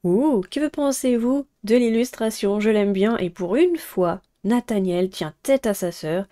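Young woman gamer narrating a dating sim, chatty, mellow relaxed voice, normal pitch. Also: unhurried. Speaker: gaming video host. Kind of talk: visual novel playthrough with read-aloud and chatty commentary.

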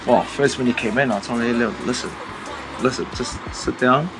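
A man with a New Zealand accent talks in a mid-pitched voice. His delivery is casual, slangy and playful, like a barber joking with mates. Music plays faintly behind him. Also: fairly quick. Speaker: barber being interviewed. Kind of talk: casual jokey chat. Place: indoors in a small convenience store.

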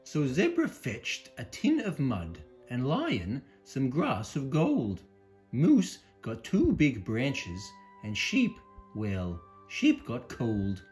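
Slow, very expressive storytelling woman. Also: very deep voice, faint music behind. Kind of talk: children's read-aloud narration.

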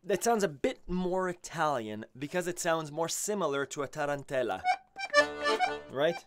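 A man's voice: on the high side, normal speed, music in the background. Chatty man teaching over his accordion. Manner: chatty, self-correcting, animated. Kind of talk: casual live-stream Q&A and teaching. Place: small home studio, indoors. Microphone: studio mic on a stand. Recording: accordion playing beneath the voice. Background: his own accordion playing.

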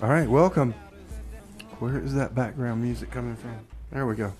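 A man's voice: medium pitch, normal speed, a little mellow, soft background music. A man co-hosting a radio show with casual, informal comic banter and chat.

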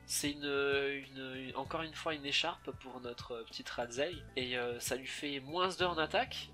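Young guy doing a let's play, sharp bright voice, stumbles over words, faint music behind. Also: medium pitch, a little slow. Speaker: gaming YouTuber. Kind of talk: let's play commentary with dialogue read aloud. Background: game music.

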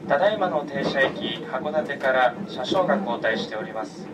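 A man with a mid-range voice welcomes passengers and introduces himself in formal, courteous phrasing. He is heard through a train loudspeaker from a distance, under heavy rolling noise. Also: medium pitch, expressive, moderate pace. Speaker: train conductor. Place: inside a moving train.

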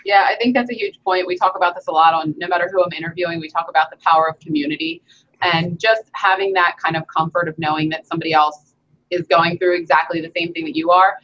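A woman's voice: fairly low pitch, steady tone, fast, fairly bright tone, lo-fi sound. A young woman hosting an interview from her home gym over a video call, chatting casually with many "you know"s. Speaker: host.

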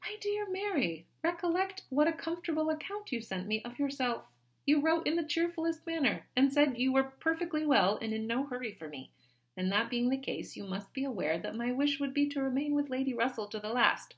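A woman reading a novel aloud, voicing a patient, sensible young woman who answers gently and reasonably. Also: sits high, animated, fairly quick, voice pushed up. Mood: explaining.

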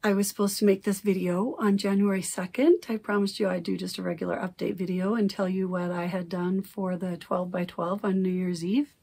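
Middle-aged lady rambling about her stitching at home, gravelly fry in her voice, still getting it back after losing it. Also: mid-range voice, fairly even intonation, a little fast, few pauses, darkish tone. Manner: rambling, self-correcting, chatty. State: recovering from lost voice. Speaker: cross-stitch vlogger. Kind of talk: casual chat. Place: home craft room, indoors. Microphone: camera at desk distance.

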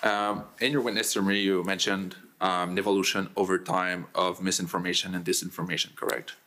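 A man's voice: sits low, flat delivery, fairly quick, sharp tone. A young man cross-examining a witness as a lawyer, asking polite questions with hesitant fillers at a lectern microphone.

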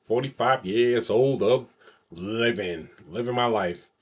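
A man's voice: medium pitch, slow, slightly rough. A man, vlogging casually to camera from his bedroom, repeating and circling back over the same phrases. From New York City. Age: 40s. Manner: repetitive, rambling, loops over the same phrases. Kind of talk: casual solo vlog to camera. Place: small bedroom, indoors.

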